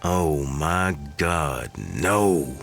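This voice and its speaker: Shaken mourner, gothic audio drama. Low, swinging pitch, halting murmurs. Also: male voice, expressive, creaky, fairly bright tone, faint music behind, exaggerated voice. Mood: stricken, sorrowful. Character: shaken, grieving man searching a cemetery.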